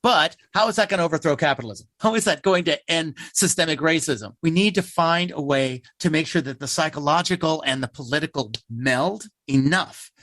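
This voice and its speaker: A man's voice: a little high, a bit bright, dry recording. An American man, a theater playwright, answering interview questions in an earnest, emphatic, talkative conversational way.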